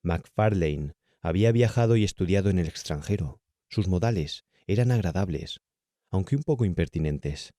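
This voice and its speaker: A man with a Castilian Spanish accent narrating a horror audiobook in a measured, carefully enunciated way. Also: low voice, lively intonation, warm tone, no echo, clean studio sound. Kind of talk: scripted horror story narration.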